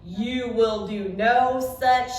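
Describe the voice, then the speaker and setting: A woman's voice: fairly high pitch, takes it slowly. A woman voicing a stern, proper shopkeeper lady in a storybook, indignant and emphatic. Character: firm, indignant, proper lady running a dry cleaners. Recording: echoey room, distant mic, light noise.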